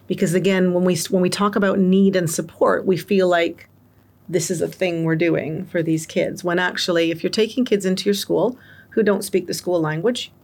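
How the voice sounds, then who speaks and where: Gravelly-voiced woman explaining at length. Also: lowish voice, darkish tone. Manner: articulate, explains at length with examples. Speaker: guest expert on multilingual education. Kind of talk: interview answers.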